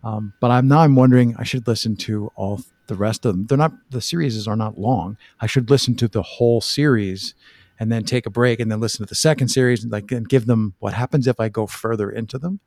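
A man's voice: mid-range voice, a little fast, darkish tone, no room sound. A man hosts a podcast in a mid-range voice, recorded dry with no room sound. He speaks casually and thoughtfully, as in an easy one-on-one conversation. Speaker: podcast host, interviewer.

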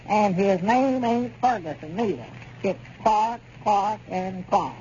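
On a muffled, faintly hissy radio comedy recording, a peevish old country fellow with a rural Southern accent. The voice is high and the manner blunt. He is contrary and folksy. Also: animated, slow pace, darkish tone. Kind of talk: 1930s radio comedy acting.

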